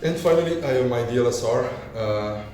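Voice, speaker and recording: A deep-voiced man casually walking viewers through gear as a video creator, with non-native English phrasing. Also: fairly quick, a little mellow. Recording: echoey room, far from mic, music behind. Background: music.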